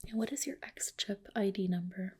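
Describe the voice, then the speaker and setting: A woman whispering softly in an ASMR roleplay as a clinic doctor, gentle, polite and reassuring, with a breathy delivery. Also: mid-range voice, normal speed. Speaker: ASMR host roleplaying a dermatologist. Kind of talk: soft whispered ASMR personal-attention roleplay.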